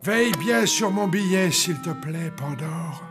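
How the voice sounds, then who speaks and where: A man voicing a naive, good-natured, slow-witted hotel underling in a cartoon, with a hesitant, simple manner. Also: a little high, lively intonation, over loud music. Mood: tender. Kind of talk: animated series dubbing.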